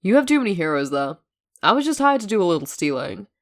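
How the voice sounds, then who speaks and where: A woman voicing a calm, stubborn man who talks in a dry, matter-of-fact way in an audio story. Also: mid-range voice, fast. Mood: smug, lying.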